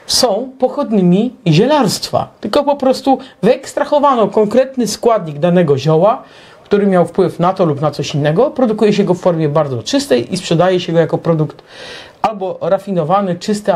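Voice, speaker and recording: A middle-aged man with a husky voice and lively, swinging intonation. He explains things as a talk show host, pausing and hesitating as he builds his point. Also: sits high. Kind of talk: opinionated explanatory monologue.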